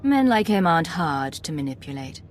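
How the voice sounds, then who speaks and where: Poised low-voiced secret agent. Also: female voice, big pitch swings, moderate pace, slight background noise. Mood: businesslike. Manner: controlled, smooth-talking.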